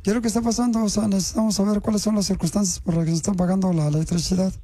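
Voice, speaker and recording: A man's voice: sits high, level tone, rapid-fire, mellow, soft background music. A male radio host, posing as a government official in a prank phone call, teasing and mock-stern.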